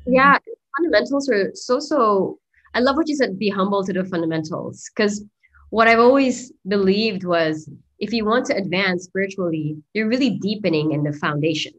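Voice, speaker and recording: A woman's voice: mid-range voice, expressive, moderate pace, rough recording. A woman hosting an interview, musing aloud with enthusiasm and lots of fillers. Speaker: summit host. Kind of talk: interview hosting, spiritual talk.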